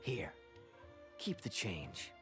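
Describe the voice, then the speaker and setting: An actor voicing a suave, easygoing adventurer in a video game, with playful charm and teasing, smooth phrasing. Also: male voice, lowish voice, very animated, drawn-out words, music in the background, full character voice. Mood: suave, courteous.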